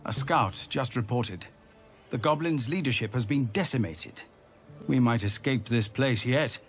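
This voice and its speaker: An actor playing a weary, dignified refugee leader in a video game, earnest and measured. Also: male voice, medium pitch, soft background music, natural voice. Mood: grateful, earnest.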